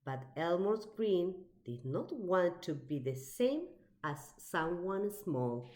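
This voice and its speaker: Animated woman reading a children's story slowly. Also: lowish voice. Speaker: storybook reader. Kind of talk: expressive read-aloud of a children's picture book.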